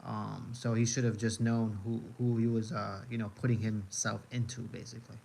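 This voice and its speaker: A man's voice: lowish voice, flat delivery, moderate pace, creaky, dark tone, a little hiss or hum. A man from the United States chatting casually on a live stream as he reacts to videos, rambling and repeating his phrases with many fillers.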